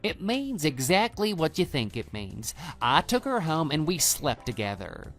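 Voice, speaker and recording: Smug, brazen other man for audio drama, male actor: dismissive, condescending, very animated pitch, faint music underneath. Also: a little slow, exaggerated voice. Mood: gloating. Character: smug, brazen male lover taunting a betrayed husband. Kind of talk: scripted texting-story radio drama.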